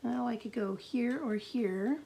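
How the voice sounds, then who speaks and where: A middle-aged woman with a gravelly voice, chatting and thinking aloud through a live card-making demo at her craft desk. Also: a little high, unhurried, warm tone, rough recording.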